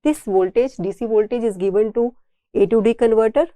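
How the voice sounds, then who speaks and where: A woman lecturer with an Indian accent explains technical material step by step. Her voice sits a little high yet sounds soft and mellow, recorded close and dry. She often repeats and rephrases key points to teach them. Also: a little fast, no room sound. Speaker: engineering lecturer.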